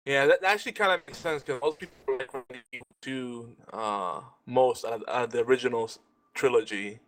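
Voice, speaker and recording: Guy on a podcast panel, average pitch, casual n sweary, does little quoted bits. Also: brisk, fairly bright tone, slight background noise. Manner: informal, sweary, acts out quotes. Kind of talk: casual chat.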